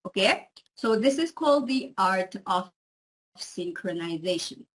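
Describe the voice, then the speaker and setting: A woman's voice: medium pitch, lively intonation, unhurried, low-quality recording. A woman giving an explanatory lecture to students, often checking in with her listeners. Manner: frequent check-ins with listeners.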